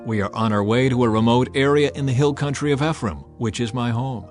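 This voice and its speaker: A man's voice: medium pitch, brisk, close to an everyday voice. A man voicing a determined, plain-spoken traveler, firm and matter-of-fact, in a dramatized scripture reading. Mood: explaining. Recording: music bed under the voice.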